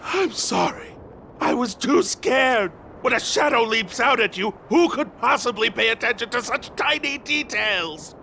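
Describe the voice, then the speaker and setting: A man voicing a nervous, easily frightened night patrolman in a video game, stammering and halting as he talks. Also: very high voice, expressive, sharp tone, a little hiss or hum, full character voice.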